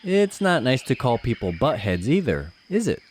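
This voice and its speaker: A man playing a gentle, patient ape papa who reads to his child in an expressive, gently instructive way. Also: medium pitch, normal speed, a little hiss or hum. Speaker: storybook reader. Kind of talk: animated children's read-aloud.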